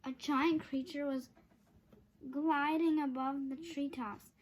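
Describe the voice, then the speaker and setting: A woman reading a children's chapter book aloud, haltingly, stumbling over long words. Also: sits high, steady tone. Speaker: storybook reader.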